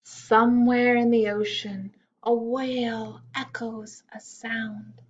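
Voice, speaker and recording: A woman reading a children's picture-book poem aloud in a slow, gentle storytime manner with soft hushed asides. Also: a little high, flat delivery, breathy edge, dull audio. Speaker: storytime reader.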